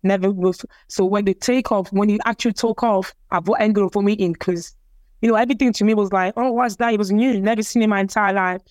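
Young woman from Cameroon, French first language, hesitant, repeats herself, smooth clear voice, dry close sound. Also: medium pitch, steady tone, quick pace, darkish tone, dry recording. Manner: hesitant, repeats words, many fillers. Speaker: interviewee, athlete. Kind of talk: casual personal storytelling.

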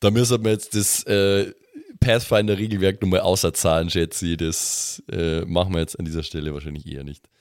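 A man's voice: low-pitched, steady tone, brisk, no echo, studio quality. A man chatting casually as he improvises as a player in a tabletop role-playing game. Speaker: player in an improvised pen-and-paper audio play.

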